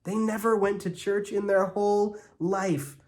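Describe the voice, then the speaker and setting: A man's voice: sits high, level tone, a little slow, a little mellow, voice pushed up. A man voicing an indignant, know-it-all young girl in a storybook, exasperated and scornful.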